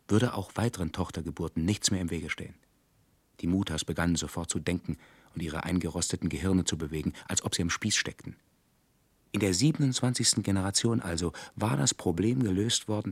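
A man acting as the sombre narrator of a dystopian science fiction radio play, with a husky voice. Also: sits low, dry recording.

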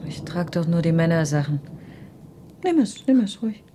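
A woman's voice: on the low side, lively intonation, normal speed, warm tone, slight background noise, close to an everyday voice. An actress playing an earnest, troubled, reserved woman in a radio drama, speaking in a terse, subdued way. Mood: subdued, wary. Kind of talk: naturalistic radio drama acting.

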